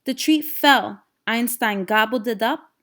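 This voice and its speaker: A woman's voice: fairly high pitch, a little slow. A woman teacher reading a children's storybook aloud expressively and putting simple questions to young listeners. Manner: expressive, instructional, addresses young listeners directly.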